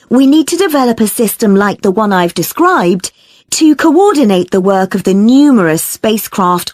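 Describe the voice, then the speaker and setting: A woman playing a lecturer, delivering a scripted academic talk in a measured, articulate, explanatory way. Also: medium pitch, a little mellow, no room sound, clean studio sound. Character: female academic lecturer explaining problems and solutions.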